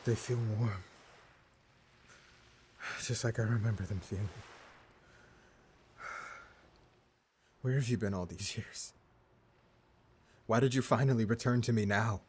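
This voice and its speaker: An actor voicing a defeated blind pirate overlord in an audio drama, stammering and pleading in anguish. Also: male voice, fairly low pitch, normal speed, long pauses, a little hiss or hum, natural voice. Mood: grieving, tender. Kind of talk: dramatic audio roleplay acting.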